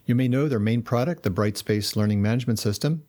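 A man's voice: medium pitch, quick pace, mellow, no room sound, studio quality. A man hosting a podcast, reading the intro and sponsor notes, then asking friendly questions with fillers. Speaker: podcast host.

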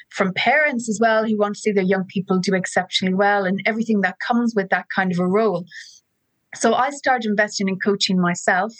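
A woman's voice: medium pitch, a bit bright, no room sound, rough recording. A woman in her forties with an Irish accent, an enthusiastic, chatty storyteller speaking as a guest over a remote call. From Ireland. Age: 40s. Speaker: guest, education app co-founder. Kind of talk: podcast interview.

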